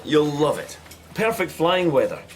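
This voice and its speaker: An actor playing a smooth, flirtatious pilot with something to hide, breezy and evasive, in a radio drama. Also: male voice, fairly high pitch, big pitch swings, a little slow, a little hiss or hum, poor audio. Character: smooth, flirtatious male pilot hiding a crime.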